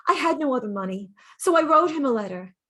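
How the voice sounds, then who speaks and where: Gentle, wistful woman acting a devoted mistress, higher-pitched. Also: expressive, quick pace. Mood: wounded, forgiving. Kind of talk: theatrical dramatic monologue.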